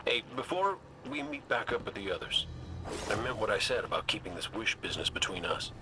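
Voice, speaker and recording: An actor voicing a roguish, wisecracking robot gunslinger in a video game, cocky but soft-hearted, with a wry conversational delivery. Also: male voice, fairly low pitch, animated, fairly quick, background noise, natural voice. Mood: confiding. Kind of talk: video game cutscene acting.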